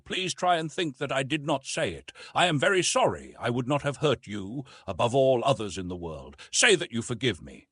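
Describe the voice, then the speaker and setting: A man voicing a weary, rueful young English gentleman in an audiobook, earnest, formal and courteous. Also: medium pitch, slightly rough, dry recording, clean studio sound.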